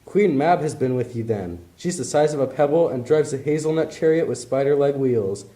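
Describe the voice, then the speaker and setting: A man's voice: mid-range voice, normal speed, mellow, natural voice. A man voicing a wry, fanciful young friend in a comic puppet play, talking like a storyteller.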